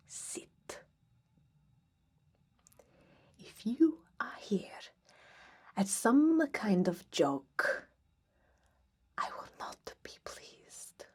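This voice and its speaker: A woman playing a stern, haughty vampire who examines aspiring blood hunters in an ASMR roleplay, curt and imperious, with a breathy voice that drifts into whispers. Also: medium pitch, darkish tone, voice pushed down, heavily acted. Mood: stern, displeased.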